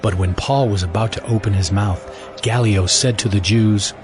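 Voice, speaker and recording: Low-voiced male Bible narrator. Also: fairly even intonation, moderate pace, music in the background. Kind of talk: scripted audio Bible narration.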